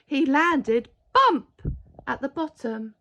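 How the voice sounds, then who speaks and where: A woman's voice: sits high, big pitch swings, takes it slowly, pushed and bright, muffled recording. A woman reading a children's picture book aloud expressively, with dramatic emphasis on key words. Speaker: storybook reader.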